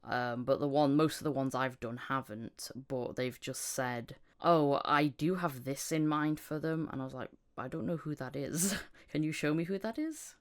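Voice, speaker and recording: A woman's voice: deep voice, normal speed, few pauses. A woman hosting a casual interview podcast, chatting informally. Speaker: podcast host, amateur voice actor.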